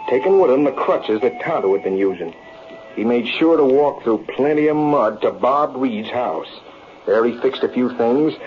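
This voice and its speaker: An actor playing a folksy cowboy storyteller with a rural Western American accent, narrating a yarn in old-time radio style. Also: male voice, mid-range voice, natural voice. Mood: storytelling. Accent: rural American West. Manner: folksy, animated yarn-spinning. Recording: muffled, noisy vintage radio recording.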